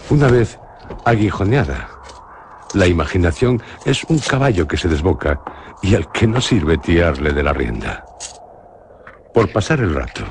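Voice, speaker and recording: A man narrating a literary horror tale in a solemn, deliberate manner. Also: low voice, gravelly, noisy background.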